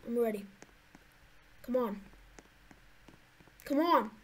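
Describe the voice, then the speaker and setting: A woman's voice: on the high side, slow, rough recording. A woman giving nervous, jumpy commentary to her viewers while playing a horror game. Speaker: gaming YouTuber.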